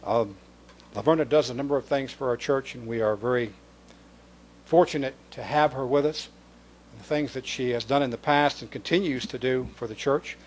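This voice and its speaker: A man pastor introduces the morning's lay speaker from the pulpit, gracious and a little halting, restarting phrases. Faint background noise hums under his voice in a small church. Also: medium pitch, poor audio. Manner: gracious, repeats words when starting. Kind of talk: church announcements. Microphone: pulpit microphone.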